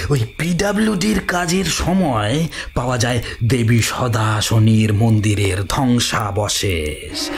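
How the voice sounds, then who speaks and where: A ruthless, gloating villain in a horror audio drama. His delivery swings widely in pitch yet moves slowly, halting and repeating words with taunting menace. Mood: hushed, ominous. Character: gloating, ruthless male villain, kidnapper obsessed with occult secrets.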